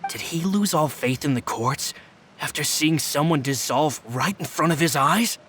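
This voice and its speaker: A bright-voiced, loyal henchman. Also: a little high, lively intonation, husky. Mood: serious, questioning. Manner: deferential, deliberate, formal. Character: loyal male subordinate bodyguard, earnest and measured. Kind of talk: game cutscene dialogue.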